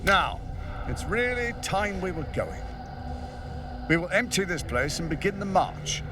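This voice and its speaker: Smug, theatrical villain, swooping and distant. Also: male voice, high voice, big pitch swings, unhurried, music in the background. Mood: smug, commanding. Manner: sardonic, theatrical, polished. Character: smug, mocking male tyrant schemer, suave and commanding. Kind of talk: video game dramatic acting.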